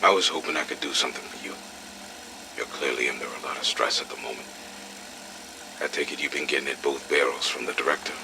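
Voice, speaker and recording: An actor playing a blunt but sympathetic, weathered army major in an audio drama. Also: male voice, rumbling low, quick pace, vocal fry, pauses often, noisy background. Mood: friendly concern.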